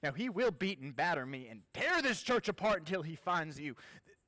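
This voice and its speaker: An actor playing a righteous, protective 19th century preacher, with emphatic oratorical phrasing in a theatrical stage style. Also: male voice, a little high, big pitch swings, a little fast, pushed and bright, no room sound, clean studio sound. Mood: grave, foreboding.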